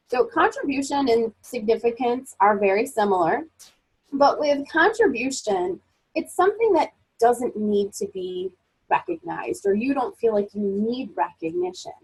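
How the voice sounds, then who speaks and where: A woman's voice: on the high side, big pitch swings, normal speed, a little mellow, husky, rough recording. A woman presenting a motivational webinar as a life coach, encouraging and upbeat, sounding a little froggy as she gets over a cold.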